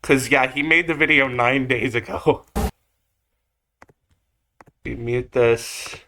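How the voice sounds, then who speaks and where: A man's voice: mid-range voice, slow pace, bright, forceful tone, a little hiss or hum. A man giving casual, rambling reaction commentary as a video host, with slangy phrasing and mild swearing. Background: music or drone from the video being watched.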